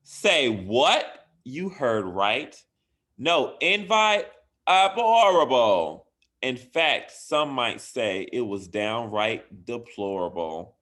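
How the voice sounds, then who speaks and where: A man reading a children's picture book aloud as a bedtime story, with animated emphasis, then giving school announcements to parents. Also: mid-range voice, drawn-out words. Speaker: school administrator.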